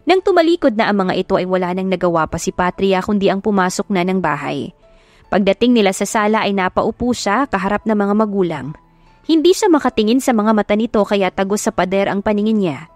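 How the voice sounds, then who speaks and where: A woman's voice: medium pitch, animated, fairly quick, a little mellow, dry recording. A woman with a Filipino accent narrating a dramatic audiobook. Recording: music behind the voice. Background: music.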